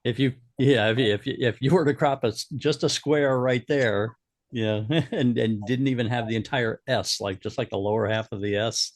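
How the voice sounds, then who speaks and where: A man's voice: medium pitch, fairly even intonation, moderate pace, dry recording, clean studio sound. A man giving casual critique at a camera club, stammering a little and directing how to crop an image.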